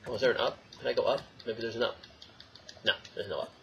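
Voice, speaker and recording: A man's voice: mid-range voice, steady tone, quick pace, gravelly, fairly bright tone. Young guy doing a lets play, jokey rambling, kinda muffled lo-fi mic, bit of noise. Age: young adult. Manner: jokey rambling, talking to himself and the game. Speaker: let's play host. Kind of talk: casual gameplay commentary. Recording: dull lo-fi mic, faint noise.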